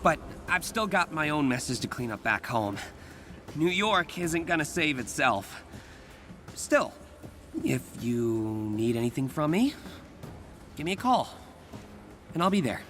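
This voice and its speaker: An earnest young American superhero in a video game. His pitch swings freely as he chatters in a boyish, nervy, self-deprecating way, always ready with a wisecrack. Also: sing-song, a little slow, long pauses, a bit bright, a little hiss or hum. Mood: friendly, modest. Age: young adult.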